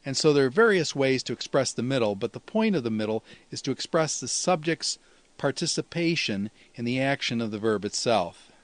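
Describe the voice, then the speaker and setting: A man speaks as a teacher walking students through a lecture. His delivery is didactic and explanatory, with a lightly folksy touch. The sound is dry, with hardly any room around the voice, and his pitch sits in an ordinary male middle range. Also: lively intonation, brisk, no echo. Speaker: teacher of New Testament Greek. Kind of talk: instructional lecture, explaining with examples.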